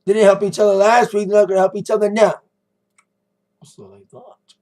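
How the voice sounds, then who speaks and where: High-voiced American man, a little hoarse. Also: flat delivery, fairly quick, a little mellow. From United States. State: slightly hoarse. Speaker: wrestling review vlogger. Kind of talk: casual recap commentary to camera.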